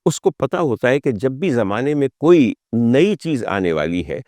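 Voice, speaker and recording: Male storyteller, rhetorical and deliberate, dry sound. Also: mid-range voice, sing-song, a little mellow, dry recording. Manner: rhetorical, deliberate build-ups with repeated phrases. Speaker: host and storyteller of a music history talk. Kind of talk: dramatic spoken storytelling to the audience.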